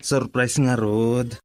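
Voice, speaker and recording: A man's voice: medium pitch, gravelly, slight background noise. A man acting a middle-aged family friend, a tender and patient suitor in a radio drama, with a Filipino accent. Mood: affectionate.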